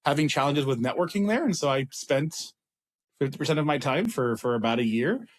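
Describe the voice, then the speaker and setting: A man's voice: a little high, expressive, fairly quick. Israeli man, casual rambling interview talk. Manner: rambling, self-deprecating, many restarts. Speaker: startup CEO guest.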